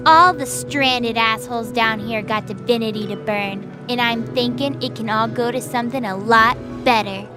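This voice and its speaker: Woman as a high-voiced, brash young goddess. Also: moderate pace, few pauses, natural voice. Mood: defiant, hungry. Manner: cocky, provoking. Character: brash, defiant centuries-old goddess who looks like a child. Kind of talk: fantasy audio drama acting. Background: music.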